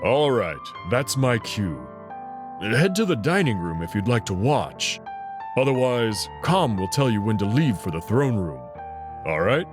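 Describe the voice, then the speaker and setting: A man's voice: on the low side, big pitch swings, measured pace. A man doing Amicus, a confident wolf heir. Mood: brisk. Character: confident young male wolf heir, reassuring. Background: background music.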